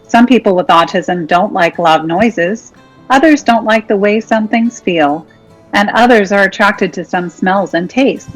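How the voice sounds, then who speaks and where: A woman's voice: medium pitch, unhurried, poor audio. A woman reading a children's picture book aloud. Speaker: reader. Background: soft music.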